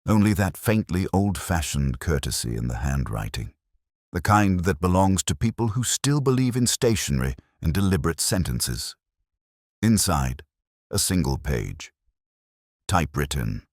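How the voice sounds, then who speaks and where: A man playing a brooding, erudite middle-aged detective, narrating an audio drama in measured, reflective, literary phrases. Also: deep voice, big pitch swings, a little mellow, no room sound, studio quality. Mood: wry, uneasy. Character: brooding, erudite male English police detective, melancholy, observant.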